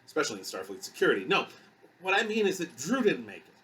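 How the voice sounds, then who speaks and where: Man acting a troubled, earnest young security guard, raised pitch. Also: big pitch swings, a bit bright, far from the mic. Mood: distraught. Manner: halting, earnest.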